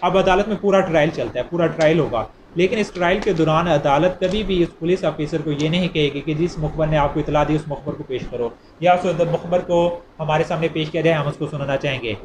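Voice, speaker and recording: A man with a Pakistani accent teaches in a fairly high voice. He explains like a lecturer, conversational but deliberate whenever he reads out formal text. Clear noise runs behind him throughout the recording. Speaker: law lecturer. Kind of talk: explanatory lecture, reading statutes aloud and explaining them.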